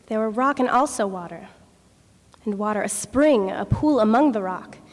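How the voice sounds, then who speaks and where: A woman's voice: medium pitch, animated, normal speed. Woman reciting verse in a reverberant hall. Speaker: reader. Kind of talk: dramatic poetry recitation.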